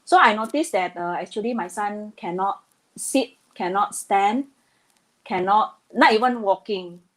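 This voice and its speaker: A woman's voice: mid-range voice, a little slow, fairly bright tone. Hesitant Indonesian-born woman guest. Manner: hesitant, many fillers, earnest. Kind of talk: casual interview talk.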